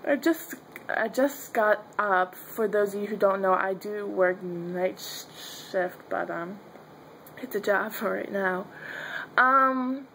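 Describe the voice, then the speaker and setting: A young woman talks slowly and openly into a close camera from her bedroom, stuttering through blocks, repeated sounds and fillers. Her voice sits at an ordinary pitch for a woman. A faint hiss of room noise runs underneath. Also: fairly bright tone, poor audio. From Pennsylvania, US. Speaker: vlogger. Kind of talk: casual video diary. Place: dim bedroom, indoors. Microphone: webcam or phone held close.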